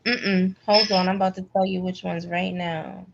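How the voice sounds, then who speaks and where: A woman's voice: lowish voice, little pitch movement, moderate pace, creaky, background noise. A woman teaching a live spiritual healing class in a direct, emphatic, colloquial way. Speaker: class instructor, pendulum energy healer. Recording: slightly dull, lo-fi call audio.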